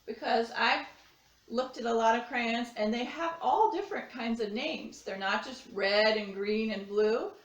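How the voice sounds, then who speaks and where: A woman's voice: a little high, a little slow, echoey room, distant mic, lo-fi sound. A woman reading to children and giving casual art instruction. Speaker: children's story reader and art teacher.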